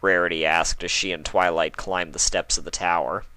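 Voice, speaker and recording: A man's voice: low-pitched, little pitch movement, moderate pace, sharp tone, dry recording. A man reading scripted story narration as the narrator of a fan fiction.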